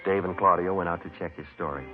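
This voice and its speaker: An actor playing a terse, methodical police sergeant in a deadpan, matter-of-fact radio drama style. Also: male voice, low-pitched, fast, natural voice. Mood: flat, factual. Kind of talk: 1950s radio drama acting and narration. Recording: muffled vintage radio recording, music behind.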